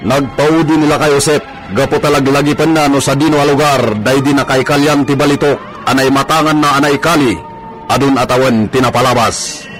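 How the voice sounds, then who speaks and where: A man's voice: mid-range voice, fairly even intonation, fairly quick, low-quality recording. A man with a Filipino accent giving scripted dramatic narration for a radio serial over music.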